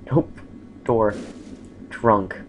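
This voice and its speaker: A man's voice: fairly low pitch, noisy background. A man casually commentating his own gameplay, exclaiming at crashes and making self-mocking asides. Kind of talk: casual let's-play commentary.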